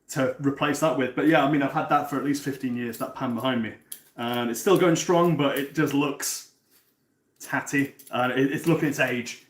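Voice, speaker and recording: A man's voice: mid-range voice, roomy sound. A man in his thirties from North Wales, chatting casually with viewers while he cooks on a live stream. He often repeats words and restarts his sentences. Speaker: cooking stream host. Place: home kitchen.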